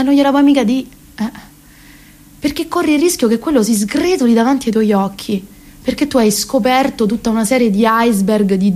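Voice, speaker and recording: A woman's voice: mid-range voice, a little mellow, natural voice. An actress around thirty with a Roman Italian accent, playing a neurotic, rambling, self-ironic woman in a comic radio monologue.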